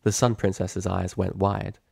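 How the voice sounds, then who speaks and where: A man's voice: sits low, flat delivery, unhurried, vocal fry, darkish tone, slightly rough, no echo, studio quality. A man reading fiction aloud as a dramatic, scripted story narrator.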